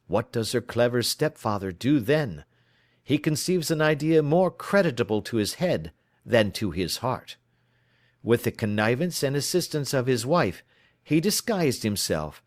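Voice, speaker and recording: A man reading the part of a calm, sharp-witted male detective, suave and precise as he lays out his reasoning. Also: mid-range voice, no echo, studio quality. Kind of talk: audiobook reading.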